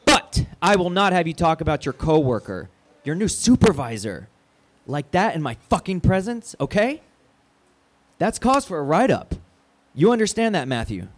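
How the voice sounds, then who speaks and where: An actor playing a placating, foul-mouthed radio station manager who talks in halting, cajoling corporate speak in an audio drama. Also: male voice, a little high, big pitch swings. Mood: angry. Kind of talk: naturalistic audio drama acting.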